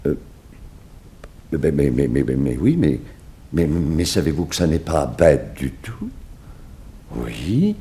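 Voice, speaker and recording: A flustered, exacting couturier in a 1960s radio drama. His low, mellow voice swoops widely as he exclaims and repeats himself theatrically. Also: big pitch swings, slow, long pauses, full character voice. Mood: musing, delighted. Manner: theatrical, stammering repetitions and exclamations. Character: flustered, effusive, exacting male fashion designer. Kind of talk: 1960s French radio serial acting.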